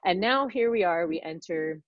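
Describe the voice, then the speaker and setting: A woman's voice: on the low side, expressive, normal speed, no room sound. Chatty woman host, dry dull sound. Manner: warm, chatty, laughs often, rambling restarts. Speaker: podcast host. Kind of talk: casual interview chat.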